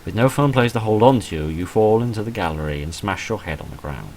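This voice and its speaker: Deep-voiced man narrating a game. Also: animated, a little fast, creaky, a little mellow, a little hiss or hum. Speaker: gaming video narrator reading a visual novel aloud. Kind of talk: reading game text aloud with casual commentary.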